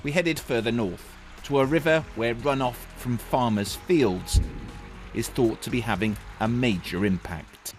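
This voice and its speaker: A man's voice: medium pitch, unhurried, faint music behind. A man narrating a scripted television news report as a correspondent.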